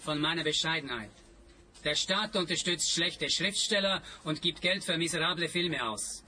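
An actor playing a self-pitying, bitter failed artist and culprit in a radio crime comedy, complaining sardonically. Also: male voice, fairly high pitch, normal speed, bright, forceful tone, slight background noise.

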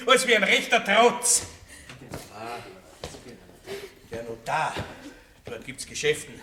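An actor playing a coarse, self-satisfied country baron in a radio play, with a Viennese accent and pompous, leering bluster. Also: male voice, high voice, pushed and bright, exaggerated voice. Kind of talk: German-language radio play acting. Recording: roomy, distant, lightly noisy old radio recording.

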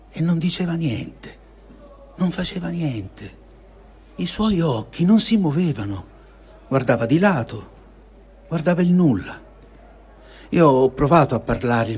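A man giving a solemn, measured dramatic reading of a first-person diary, with feeling and deliberate pauses. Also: on the high side, a little mellow, husky. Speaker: reader of a testimony.

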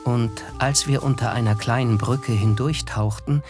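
An actor playing a reflective, wry young man who narrates his memories in a measured, lyrical radio play style. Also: medium pitch, over music, natural voice. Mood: tender, lyrical.

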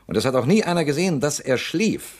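A scheming, insinuating politician. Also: male voice, fairly high pitch, big pitch swings, quick pace. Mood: insistent. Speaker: politician confronting a company director. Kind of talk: radio drama acting.

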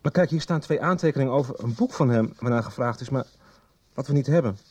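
An actor in a radio soap playing a chatty, conspiratorial library worker with a husky voice and halting, repetitive delivery. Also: male voice, medium pitch, a little mellow, close to an everyday voice. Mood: puzzled, explaining. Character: chatty, conspiratorial male library worker poring over records. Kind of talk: 1990s radio soap acting.

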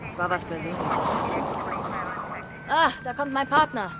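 Brisk, high-voiced police detective. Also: female voice, big pitch swings, noisy background. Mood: impatient. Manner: curt, authoritative. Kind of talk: German radio drama acting.

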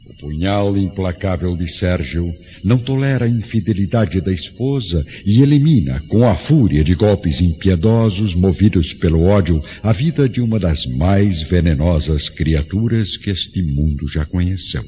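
Male radio drama narrator, low register, solemn scripted delivery, muffled lo-fi recording. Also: expressive, moderate pace, hardly any pauses, dark tone, slight background noise. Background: clear background music.

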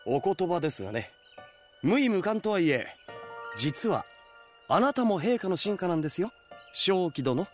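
Cheeky, lively young retainer. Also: male voice, sits high, normal speed, pauses often, soft background music. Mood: wry. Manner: breezy, casually polite, wry. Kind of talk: Japanese audio drama acting.

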